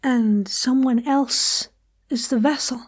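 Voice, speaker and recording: A shrewd, knowing character in an audio drama. Her voice is fairly high, and she talks deliberately with a slightly sardonic edge. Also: fairly even intonation. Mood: intent, knowing.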